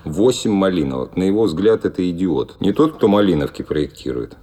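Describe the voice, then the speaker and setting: An actor playing a scornful city commuter in an audio play, commenting in a dry colloquial way. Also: male voice, sits low, lively intonation, fairly quick, dark tone.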